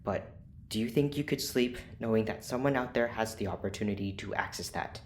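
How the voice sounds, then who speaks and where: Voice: medium pitch, a little fast, slight background noise, lightly acted. An actor in an audio drama playing a cool, controlled scientist who speaks formally and precisely. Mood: cool, pressing. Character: cool, clinical scientist doctor, controlled and persuasive. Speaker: scientist running experiments.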